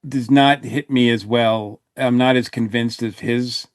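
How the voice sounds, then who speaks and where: A man's voice: mid-range voice, steady tone, a little slow, dry recording, studio quality. A man chatting casually as a podcast panelist, often hesitating and repeating words as he gives his opinion. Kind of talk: casual review chat.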